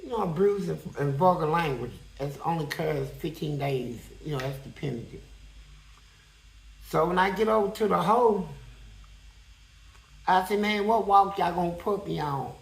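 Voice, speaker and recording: A man with a high-pitched voice telling a prison story in a blunt, profane, animated way as an interview guest. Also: moderate pace, creaky, long pauses, roomy sound, low-quality recording.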